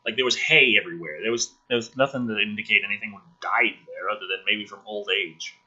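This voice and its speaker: A man reading a film script dramatically and breaking off for sarcastic, profane commentary, with a rough-edged voice. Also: lowish voice, fast talker, pushed and bright, muffled recording.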